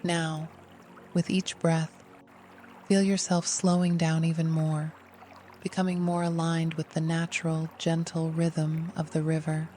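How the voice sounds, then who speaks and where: A meditation guide giving scripted, gentle, soothing instruction in an unhurried way. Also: mid-range voice, completely flat delivery, slight background noise.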